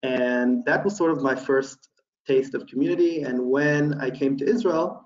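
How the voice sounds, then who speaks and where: A man in his thirties from Houston giving a relaxed webinar presentation from a small room, with many ums and uhs as he thinks aloud over a laptop webcam. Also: medium pitch, normal speed. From USA (Houston, Texas). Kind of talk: casual online presentation. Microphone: laptop webcam mic, video call. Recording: roomy, distant, dull webcam audio.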